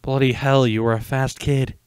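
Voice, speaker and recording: A man voicing a stubborn, teasing grown lion with forceful retorts in a dramatic story reading. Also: medium pitch, flat delivery, normal speed, smooth, no echo, natural voice. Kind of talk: dramatic fan fiction reading.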